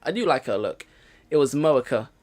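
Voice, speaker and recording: Lively man reading out a game. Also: medium pitch, moderate pace. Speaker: let's play host. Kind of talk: reading game narration aloud with casual commentary. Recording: game music behind the voice.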